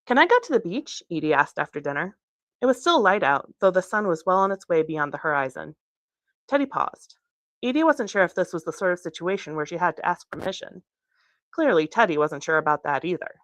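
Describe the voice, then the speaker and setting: Low-voiced woman reading a novel expressively. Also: lively intonation, a little fast, fairly bright tone, no echo. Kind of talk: expressive audiobook-style reading. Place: bookstore reading.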